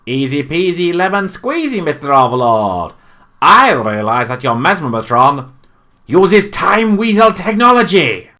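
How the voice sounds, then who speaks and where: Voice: medium pitch, big pitch swings, a little slow, sharp tone, voice pushed up. A performer voicing an eccentric, indignant weasel time traveller with a blustering, wordy manner in a comic radio play. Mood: smug.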